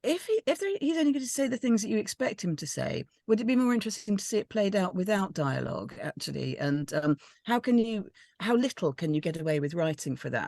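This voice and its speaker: Woman going through script notes, kinda low voice, hesitant, thinking out loud, dry sound. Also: no echo. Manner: tentative, hesitant, thinking aloud. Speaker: script panel reader giving feedback. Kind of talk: informal spoken critique of a script.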